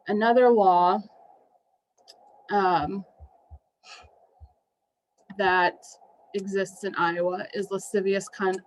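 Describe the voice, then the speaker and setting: A woman's voice: mid-range voice, poor audio. A woman with an American accent presenting a legal training, speaking with ums and an occasional wry remark. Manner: wry, with brief laughs and ums. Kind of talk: slide-based legal training.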